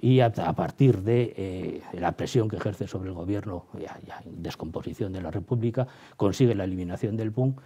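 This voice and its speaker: Mellow-voiced Spanish man, halting academic explainer. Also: sits low, slightly rough. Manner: halting, searching for words, seeking agreement with tag questions. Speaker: history professor, interviewed guest. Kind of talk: explanatory historical commentary in an interview.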